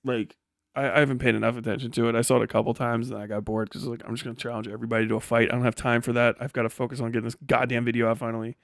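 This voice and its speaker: A man's voice: medium pitch, level tone, racing, vocal fry, no room sound, studio quality. A man hosting a livestream with casual, unscripted commentary, talking bluntly and opinionatedly with heavy swearing as he responds to chat. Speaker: livestream host reading and answering chat.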